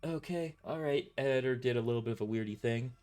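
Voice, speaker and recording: A man's voice: mid-range voice, fast talker, darkish tone, soft background music. A man narrating a scripted first-person story in an informal way, stumbling and correcting himself as he reads. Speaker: author narrating own novel.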